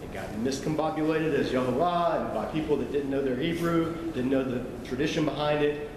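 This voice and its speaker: A man's voice: a little high, a little fast. A man teaching a sermon in a conversational, explanatory way, with asides to his listeners. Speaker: preacher. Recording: echoey hall, noisy ambience.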